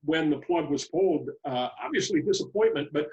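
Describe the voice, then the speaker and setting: A man co-hosting a sports podcast, asking conversational questions in a halting, roundabout way. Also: fairly high pitch, lively intonation, darkish tone, recorded from a distance, highs cut off. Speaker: podcast co-host, interviewer.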